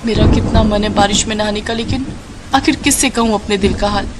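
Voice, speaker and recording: A woman's voice: medium pitch, fairly even intonation, brisk, voice pushed up, close to an everyday voice. Lady doing a young bahu, girlish raised voice, eager to please, storybook. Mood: wistful. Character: cheerful, girlish young bride who loves rain.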